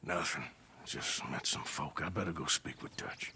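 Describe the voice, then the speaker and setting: An actor playing a weary, wry middle-aged outlaw with a gruff, dry American frontier drawl, in a game cutscene. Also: male voice, extremely low pitch, normal speed, bright, forceful tone, slight background noise, exaggerated voice. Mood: gentle. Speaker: outlaw protagonist. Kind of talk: video game cutscene acting. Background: game score music.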